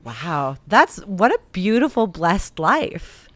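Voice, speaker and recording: A woman's voice: medium pitch, sing-song, slow, fairly bright tone, dry recording. A woman hosting an interview, offering short encouraging replies and questions. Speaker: interview host. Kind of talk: casual interview chat.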